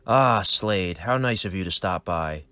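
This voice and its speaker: A cold crime boss with a low, quiet, threatening way of speaking, as a man puts him on. The recording is close and dry. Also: expressive, a little slow, dry recording, soft background music, full character voice. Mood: menacing.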